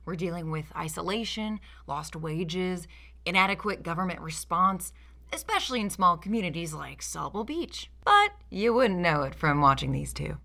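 Female video essayist, young, sarcastic and theatrical, very lively pitch, forceful bright delivery. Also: normal speed, vocal fry. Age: young adult. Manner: sarcastic, theatrical, mock-indignant. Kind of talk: scripted critical commentary.

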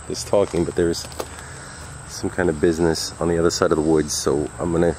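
A middle-aged man with a gravelly voice, talking casually and a little uneasily to viewers in a rambling handheld vlog. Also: low-pitched, little pitch movement, fairly quick. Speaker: urban exploration vlogger. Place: abandoned derelict house and surrounding woods. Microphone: handheld camera at arm's length. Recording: faint outdoor noise with chirping insects.

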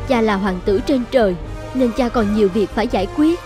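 A woman playing a gentle, devoted young princess in an animated fairy tale, soft and earnest. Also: fairly high pitch, fairly quick, over music, natural voice. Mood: reassuring.

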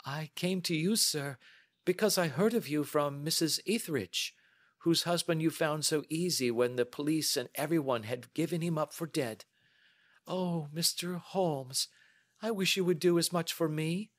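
Man putting on a high, flustered young woman. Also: unhurried, no echo, clean studio sound. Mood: pleading. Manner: flustered, rambling, earnest. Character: anxious, good-humored, chatty large young woman client. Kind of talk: audiobook character dialogue.

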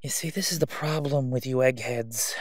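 A man acting a smug, sleazy crime boss in an audio roleplay, oily and taunting, with drawn-out, stalling phrases. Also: mid-range voice, dry recording, studio quality, lightly acted. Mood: quietly threatening. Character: sleazy, menacing Gotham crime boss, a man, smugly haggling.

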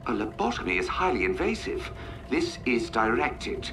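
An actor playing a cold, courtly scientist machine intelligence with a formal, measured, condescending manner, in a video game. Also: male voice, mid-range voice, big pitch swings, creaky, far from the mic. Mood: clinical, calm. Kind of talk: dramatic video game acting.

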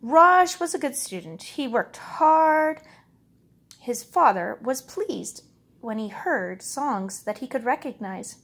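A woman reading a picture book aloud as a storyteller, with expressive, measured, dramatic phrasing. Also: on the high side, breathy edge. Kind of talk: expressive read-aloud narration.

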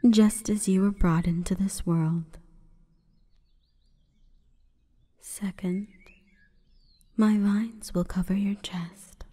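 A woman voicing an imperious, calm forest goddess in a breathy, whispering ASMR roleplay, with stately, measured delivery. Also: mid-range voice. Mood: softly menacing, coaxing. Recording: long reverberant echo.

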